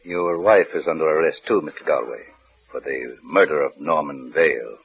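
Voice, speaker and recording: An actor playing a grave, quiet policeman who speaks deliberately, in a 1940s radio drama. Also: rumbling low. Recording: dull old radio broadcast.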